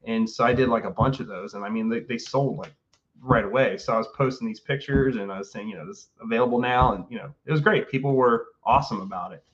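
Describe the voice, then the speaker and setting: A man's voice: mid-range voice, quick pace, highs cut off. Rambling forty-year-old man on a call. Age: 40s. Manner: relaxed, rambling with many fillers and restarts. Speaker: guest artist. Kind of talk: casual interview chat.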